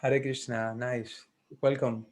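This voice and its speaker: A man teaching a class on a video call, taking roll in a friendly, halting way, thinking aloud as he goes. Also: medium pitch, flat delivery.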